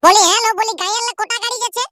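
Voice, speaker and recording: A bustling cartoon village woman acted by a man chatters very fast in a tiny, extremely high voice. She has a Purulia accent and sounds bossy and boastful, repeating herself as she hurries everyone along. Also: fairly even intonation. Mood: excited, bossy.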